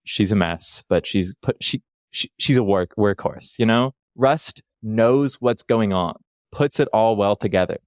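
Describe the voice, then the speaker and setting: A man from Canada, a guest on a casual podcast, rambling with quick self-deprecating jokes. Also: on the low side, clean tone, dry recording, clean studio sound.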